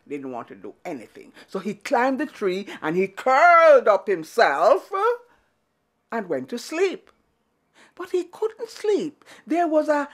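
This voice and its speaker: Slow, wildly expressive woman storyteller. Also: medium pitch. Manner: dramatic, repetitive build-ups and pauses. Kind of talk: animated oral storytelling for children.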